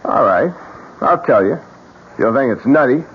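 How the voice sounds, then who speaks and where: A man's voice: medium pitch, lively intonation, unhurried, husky. An actor playing a bitter, defiant, fatalistic man in an old radio mystery drama. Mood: morbid, bitter. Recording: dull old radio recording.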